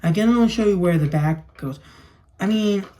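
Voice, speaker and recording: A man's voice: sits high, a little fast, warm tone. A young adult man talking casually through a hands-on demonstration for a home vlog. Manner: narrates own actions while handling toys. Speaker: toy video creator. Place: small room at home. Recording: noisy home recording with clicks.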